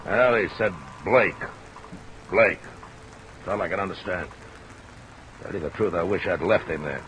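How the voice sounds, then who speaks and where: An actor playing a gruff, defensive ship's captain in a 1950s radio drama, blunt, irritable and sure of himself. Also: male voice, sits low, measured pace, a bit bright. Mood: blunt, bitter. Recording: dull old radio recording, rain noise.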